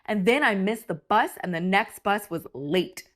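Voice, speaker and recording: A woman reading a picture book aloud for children with expressive, theatrical emphasis. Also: medium pitch, normal speed. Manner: animated, theatrical emphasis for young listeners.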